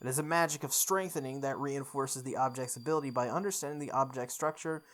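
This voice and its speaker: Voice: medium pitch, fairly even intonation, fast talker, a bit bright. A video game playthrough host reading visual novel narration aloud in a dramatic manner. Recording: background music behind the voice. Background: game music.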